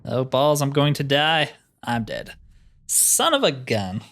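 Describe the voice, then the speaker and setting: A man's voice: medium pitch, big pitch swings, takes it slowly, fairly bright tone. A man giving casual let's play commentary, thinking aloud as he plays and grumbling wryly. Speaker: gaming video host.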